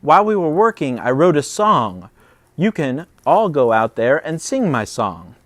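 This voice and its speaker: A man's voice: on the high side, big pitch swings, measured pace, fairly bright tone, dry recording. A man voicing a shy, nervous, obliging bear in a children's storybook. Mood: helpful.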